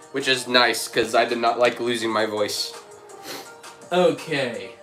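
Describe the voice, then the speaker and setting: A man's voice: mid-range voice, bright, forceful tone. Man with a lingering cough, chatting casually. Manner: thinks aloud, chatty, reads out chat messages. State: lingering cough, slight frog in throat. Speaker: livestream host. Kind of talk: casual livestream chat while building.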